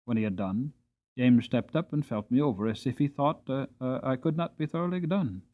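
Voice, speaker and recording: A man narrating an audiobook in a dramatic storytelling style, with expressive, theatrical emphasis. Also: mid-range voice, brisk, narrow, tinny audio.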